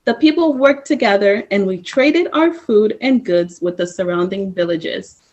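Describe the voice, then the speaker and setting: A woman reading a first-person story aloud at story time, in a slow, measured way. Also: medium pitch, a little mellow, lo-fi sound. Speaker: storytime reader. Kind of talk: reading a picture book aloud.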